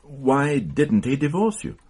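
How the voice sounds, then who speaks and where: An actor in a radio drama playing a senior police inspector with a dry, measured, wryly authoritative manner. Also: male voice, mid-range voice, very animated, a little mellow, breathy edge, full character voice. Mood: probing, restrained.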